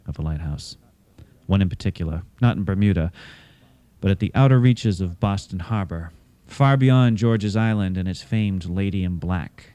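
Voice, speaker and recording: A man hosting a radio theatre show, delivering scripted, literary narration in a measured, dramatic way. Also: low-pitched, mellow. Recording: cassette of radio show, music behind.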